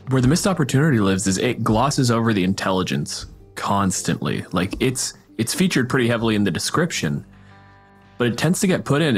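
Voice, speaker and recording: Low-pitched male podcast co-host from Canada. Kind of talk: podcast discussion and scripted reading.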